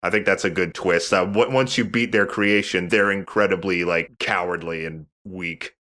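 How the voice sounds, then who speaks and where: A man's voice: sits low, steady tone, normal speed, bright, forceful tone. A man hosting a let's play, chatting casually and agreeably about the game as he goes. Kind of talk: casual gameplay commentary.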